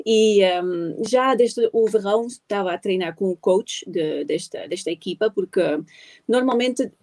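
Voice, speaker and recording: A woman in her twenties, an Italian native, answering interview questions in a casual way, pausing often with fillers. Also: mid-range voice, darkish tone. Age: 20s. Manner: hesitant, many pauses and fillers. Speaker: guest athlete.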